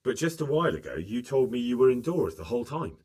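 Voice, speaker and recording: An actor playing an earnest young squire in a video game, questioning people and pressing them for the truth. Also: male voice, mid-range voice, big pitch swings, quick pace, a little mellow, close to an everyday voice. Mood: probing. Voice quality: probing, insistent. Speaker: protagonist questioning witnesses. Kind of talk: video game cutscene acting.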